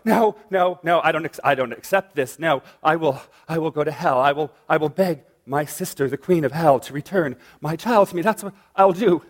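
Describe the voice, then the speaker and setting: A man's voice: on the high side, normal speed, hardly any pauses, pitched above the performer's own voice, lightly acted. A man voicing a great mother goddess, frantic and pleading in her grief.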